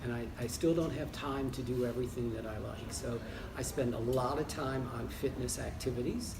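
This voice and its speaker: A semi-retired American man in his sixties, answering interview questions in a relaxed way with frequent pauses and restarts. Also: medium pitch, creaky. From United States. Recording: roomy, distant mic with background noise.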